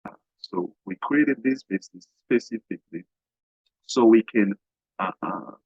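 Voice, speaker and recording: A middle-aged man from Haiti, a guest business coach talking haltingly in an interview, with many fillers and restarts. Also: on the low side, darkish tone, husky. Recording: dull, dry lo-fi sound.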